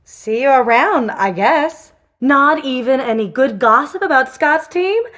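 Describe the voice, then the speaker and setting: A woman's voice: high voice, lively intonation, unhurried, a bit bright. An actress playing a nosy, gossipy woman prodding for news in a video game. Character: nosy, gossipy female acquaintance fishing for news. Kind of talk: video game character dialogue.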